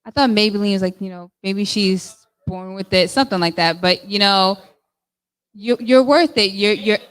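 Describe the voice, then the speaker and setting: A woman hosting a discussion, talking casually and warmly with many fillers. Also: medium pitch, normal speed. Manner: warm, chatty, with fillers. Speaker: host of the discussion. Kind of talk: casual chat.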